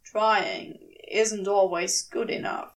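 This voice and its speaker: A woman voicing a cool, weary, reproachful man with a dry, measured manner in a fan fiction audiobook. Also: mid-range voice, steady tone, gravelly, far from the mic, pitched below the performer's own voice.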